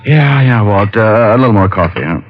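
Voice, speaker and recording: An actor playing a wry, tough private detective in a 1940s radio drama, with clipped, deadpan delivery. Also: male voice, fairly low pitch, brisk. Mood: weary, thoughtful. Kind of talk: 1940s radio acting, hard-boiled narration. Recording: dull old radio recording with music.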